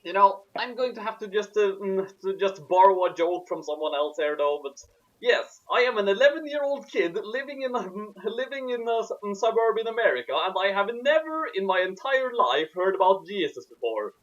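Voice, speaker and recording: A man's voice: high voice, very animated, normal speed, fairly bright tone. A man narrating a story and breaking off for sarcastic commentary, on a lo-fi recording. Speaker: narrator and co-host. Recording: dull, lo-fi voice recording.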